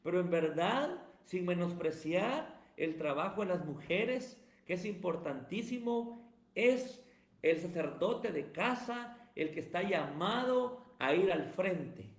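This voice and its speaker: Emphatic high-voiced pastor. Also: male voice, lively intonation, takes it slowly. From Guatemala. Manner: emphatic, sermon-like cadence. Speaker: pastor and evangelist, guest. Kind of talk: preaching-style interview answers. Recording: roomy echo.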